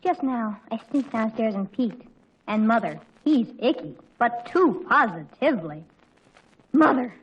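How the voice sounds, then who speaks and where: A woman's voice: fairly high pitch, animated, unhurried, breathy edge, highs cut off. A woman acting a gushing, boy-crazy teenage girl in a 1940s radio sitcom, full of exaggerated slang and melodrama. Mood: dramatic. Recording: noisy 1940s radio recording.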